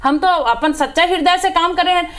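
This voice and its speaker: A woman with a very high voice and a Chhattisgarhi accent argues in a heated political debate. She is combative and insistent, hammering her points through repetition like a party spokesperson on a panel. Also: normal speed, a bit bright. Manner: combative, insistent, repeats phrases for emphasis.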